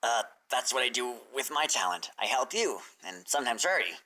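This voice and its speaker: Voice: medium pitch, animated, fairly quick, sharp tone. A reader voicing a cheeky, insecure young male assistant creature in a fan fiction story. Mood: cheeky, wary. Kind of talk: fan fiction character reading.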